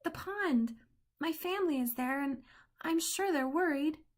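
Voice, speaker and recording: A woman voicing a small lost duckling, pleading and squeaky. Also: a little slow.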